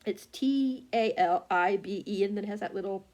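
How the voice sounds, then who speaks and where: A woman's voice: medium pitch, moderate pace, a little mellow. A woman chatting casually about upcoming books, rambling with lots of fillers and restarts while working a phone. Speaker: book vlogger. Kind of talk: casual chat.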